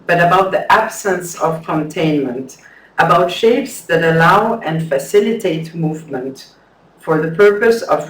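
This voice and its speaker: A man presenting an academic paper at a conference, in a measured, careful way with some hesitant restarts. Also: fairly high pitch. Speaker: conference speaker. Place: lecture hall. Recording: echoey hall, distant mic.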